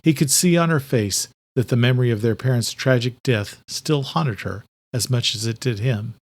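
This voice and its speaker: A man's voice: mid-range voice, moderate pace. A man reading scripted thriller fiction as a dramatic audiobook narrator.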